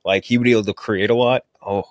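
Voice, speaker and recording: A man talks at a quick clip in a lowish voice, close and dry on the recording. He is a podcast co-host giving opinions on movies and shows in casual, slangy language with some swearing. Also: steady tone, no room sound. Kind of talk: casual opinionated film and TV chat.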